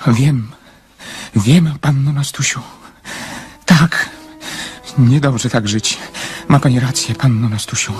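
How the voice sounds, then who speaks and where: Actor as a slow-spoken, lonely male dreamer. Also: medium pitch, soft background music, close to an everyday voice. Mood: anguished, tender. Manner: hesitant, halting, theatrical. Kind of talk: Polish radio drama acting.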